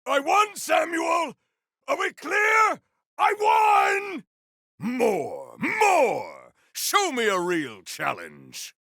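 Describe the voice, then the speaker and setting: A man's voice: extremely high pitch, wildly swooping pitch, vocal fry, sharp tone, no echo, shouting, clean studio sound, full character voice. A man voicing a stern, formal warrior in a video game, proud and battle-hungry, with grave and eloquent diction. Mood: roaring, fierce. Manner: formal, measured, ceremonious diction, few contractions. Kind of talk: video game character voice lines.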